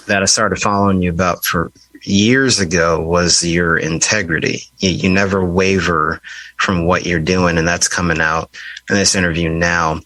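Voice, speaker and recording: A man hosting a casual interview, asking unhurried questions in an affirming manner. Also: deep voice, fairly bright tone, no echo, low-quality recording. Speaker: podcast host, interviewer.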